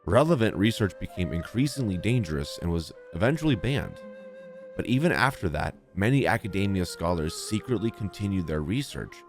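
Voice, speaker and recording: A man's voice: low voice, sing-song, brisk. A man streaming a video game, reading on-screen text aloud and reacting casually. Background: game music.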